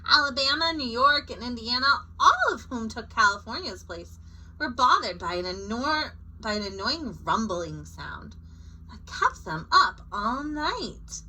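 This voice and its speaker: A woman with a highish, very bright voice reads a picture book aloud. Her pitch moves in lively swoops as she tells the story expressively, adding informal asides. There is faint noise behind her. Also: a little slow, poor audio. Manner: expressive, acting out short character quotes.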